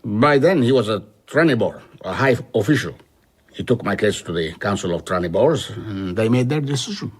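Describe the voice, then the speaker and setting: A man's voice: fairly low pitch, animated, normal speed, slightly rough. An actor playing a haunted, wistful traveller reflectively recounting his past in a radio drama. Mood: grave. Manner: reflective, storytelling.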